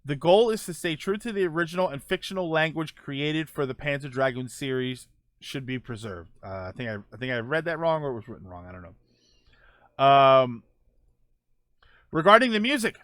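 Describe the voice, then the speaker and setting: A man's voice: on the high side, a bit bright, dry recording. A man hosting a video game livestream, casually reading out news notes and dropping in informal asides.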